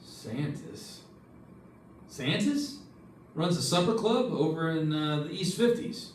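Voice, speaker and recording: A man's voice: on the high side, expressive, slow pace, slight background noise. A man playing a dry, probing insurance investigator in an old-time radio detective drama, with wry, clipped questioning. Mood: businesslike. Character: dry, probing male insurance investigator narrating his case. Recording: roomy, distant stage recording with music.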